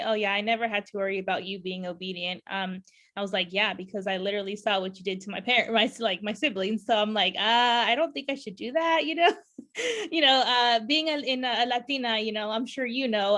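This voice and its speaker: A woman's voice: on the high side, fairly bright tone. A woman from California hosting a podcast interview, chatting casually with lots of fillers and frequent laughter. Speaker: podcast host.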